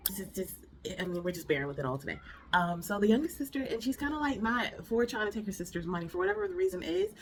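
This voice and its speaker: A woman's voice: medium pitch, slight background noise. A young woman with a nasal, stuffy voice from a cold, chattily recapping a TV drama with lots of fillers and restarts. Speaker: reaction video host. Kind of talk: casual drama recap and review.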